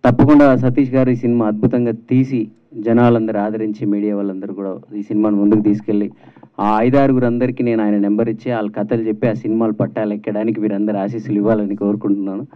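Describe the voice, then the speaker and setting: A male guest offers good wishes in a film launch speech. His voice is fairly low and stays flat in pitch. It comes through thin and phone-like. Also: fast talker. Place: film launch event, at a microphone. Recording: distant, phone-like tinny PA sound.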